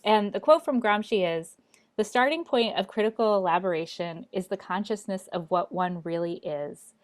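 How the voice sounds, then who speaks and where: A woman co-hosting a discussion, speaking in a measured way with some fillers and reading a quote aloud. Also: medium pitch. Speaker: co-host. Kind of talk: conversational discussion.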